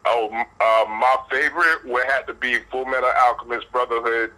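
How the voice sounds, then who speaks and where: A man's voice: medium pitch, fairly even intonation, normal speed, pushed and bright, low-quality recording. A man from North Carolina, a hip-hop artist, talking casually as an interview guest in a laid-back, rambling way.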